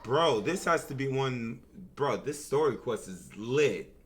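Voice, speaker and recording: A young man streams a game and reacts out loud. His pitch swings widely over a mid-range voice, and his talk is casual, slangy and animated. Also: a little slow, faint music behind. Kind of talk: casual live reaction commentary.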